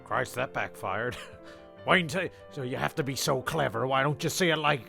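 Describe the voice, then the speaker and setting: A man's voice: mid-range voice, moderate pace, vocal fry, sharp tone, soft background music. A man performing rambling comic storytelling and sketch dialogue in a dry, exasperated way. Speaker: narrator and writer of a comedy show.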